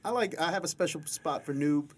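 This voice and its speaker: A man's voice: a little high, brisk, slight background noise. A man hosting a casual interview, speaking informally and often repeating phrases in agreement. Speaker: podcast host and interviewer.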